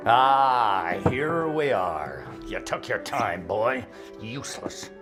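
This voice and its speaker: A man's voice: mid-range voice, animated, slow, over music. A man acting a fussy, grumbling shop owner who fawns over guests in a video game. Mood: scornful. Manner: fawning to guests, scornful to his helper.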